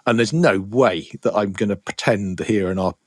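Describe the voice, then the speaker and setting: A man's voice: lowish voice, normal speed, dry recording, clean studio sound. A middle-aged man hosting a podcast in casual chat, rambling with many restarts. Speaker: podcast host.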